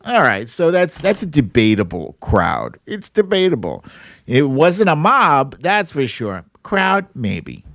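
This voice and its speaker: A man hosting a livestream, giving informal, wry and halting commentary as he chats with viewers. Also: a little high, wildly swooping pitch, creaky, a bit bright, no room sound. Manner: informal, wry, halting delivery with occasional swearing. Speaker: livestream host. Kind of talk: casual live commentary, reading viewer comments.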